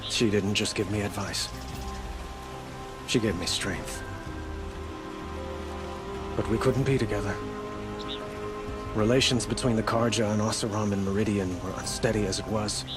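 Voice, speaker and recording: Man playing a deep-voiced, gentle young king. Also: fairly even intonation, lightly acted. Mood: sorrowful. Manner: formal, measured, hesitant. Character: gentle, grieving young male king, formal and sincere. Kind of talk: dramatic video game cutscene acting. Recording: music behind the voice.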